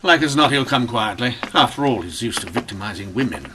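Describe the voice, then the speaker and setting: An actor playing a stern, driven Victorian inspector in a radio mystery, with emphatic, intense delivery. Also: male voice, medium pitch, lively intonation, fairly quick, background noise, lightly acted. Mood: grim resolve. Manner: emphatic, intense, clipped declarations. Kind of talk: old-time radio mystery acting.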